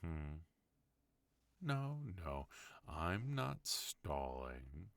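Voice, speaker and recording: A strikingly deep, unhurried voice of a mafia boss husband, as a man acts him out, guarded yet tender toward his partner. He talks softly and intimately in an ASMR roleplay, close and dry with no room around him. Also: big pitch swings, creaky, no room sound, full character voice. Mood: defensive. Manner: soft, intimate, speaking to an unheard listener.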